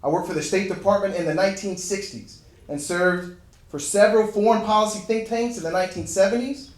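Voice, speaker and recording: A man's voice: high-pitched, brisk, close to an everyday voice. A man reading the part of a dignified diplomat, formally reciting his career in the first person. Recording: very echoey room.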